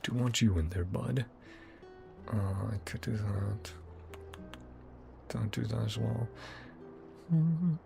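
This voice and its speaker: Guy streaming a game, slow n gravelly, muttering his thoughts, music behind him. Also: on the low side, lively intonation. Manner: muttering to himself while thinking. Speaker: streamer playing a video game. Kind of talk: casual gameplay commentary.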